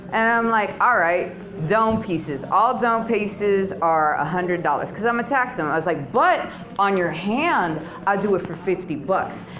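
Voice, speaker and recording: A middle-aged woman performing stand-up at an open mic, telling stories in a crude, slangy, conversational way into a handheld stage mic. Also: lowish voice. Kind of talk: stand-up comedy routine. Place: small dim comedy club stage, indoors. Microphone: handheld stage microphone, filmed on phone close below.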